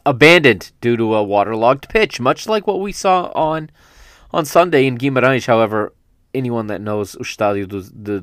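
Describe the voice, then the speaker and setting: Guy hosting a football podcast, kinda low smooth voice, dry close mic, rambly and fixes himself a lot. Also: no echo. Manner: rambling, self-correcting, fan enthusiasm. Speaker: podcast host. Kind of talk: solo football podcast recap. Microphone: studio microphone.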